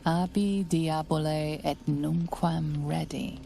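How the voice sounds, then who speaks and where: Low, creaky, solemn storyteller. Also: female voice, steady tone, slow pace, warm tone, slight background noise, full character voice. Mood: grave, hushed. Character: solemn, watchful female storyteller guiding an adventurer. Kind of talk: scripted narration of a fantasy game.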